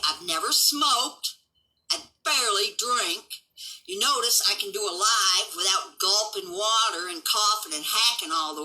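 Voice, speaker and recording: A woman's voice: on the low side, sharp tone, poor audio. A woman in her seventies ranting unscripted to viewers as a livestream host, brash, defiant and boastful, with folksy, profane speech. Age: 70s. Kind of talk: unscripted solo rant to viewers.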